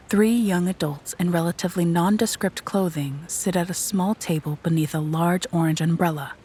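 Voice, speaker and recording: A woman reading scripted narration for a dark audio drama in a measured, descriptive storytelling manner. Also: fairly low pitch, slight background noise.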